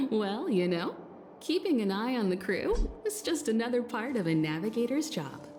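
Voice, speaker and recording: An actress playing a poised, gently teasing starship navigator in a video game, composed and unhurried. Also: female voice, a little high, big pitch swings, over music. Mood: composed, amused. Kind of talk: scripted video game dialogue.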